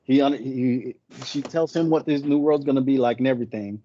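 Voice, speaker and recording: Man, English not his first language, rambling storyteller, mellow voice, dull lo-fi sound. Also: medium pitch, fairly quick, a little hiss or hum. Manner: rambling, self-correcting storyteller. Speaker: co-host. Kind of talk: casual podcast chat.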